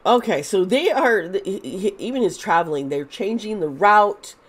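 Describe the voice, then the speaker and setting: A woman's voice: medium pitch, animated, moderate pace. A woman with a congested, fading voice, doing a casual tarot reading to camera, rambling with frequent restarts and fillers. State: congested, losing her voice. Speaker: tarot reader.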